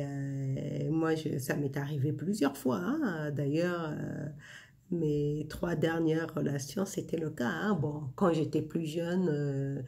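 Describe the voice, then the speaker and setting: A woman's voice: low-pitched, gravelly, dark tone. A woman in her forties talking casually to her viewers in a spiritual vlog, speaking intimately to the listener with frequent fillers. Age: 40s. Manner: intimate, addresses the listener directly, many fillers. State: winding down before bed. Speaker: vlogger sharing inspiration.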